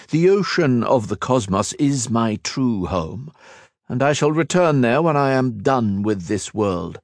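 A contemptuous immortal being from the stars speaks with slow, deliberate scorn, as a man puts him on for an audiobook. His pitch rises and falls widely as he lectures. The sound is dry and close. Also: dry recording. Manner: haughty, lofty, lecturing. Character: ancient arrogant male cosmic being, cold and contemptuous.

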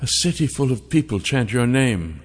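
A man's voice: mid-range voice, very animated, breathy edge, big-room sound, soft background music, voice pushed up. A male narrator voicing an ancient female dragon in the form of a woman, coaxing and silky. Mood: seductive. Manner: silky, coaxing, slow and drawn out. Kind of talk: audiobook character voice.

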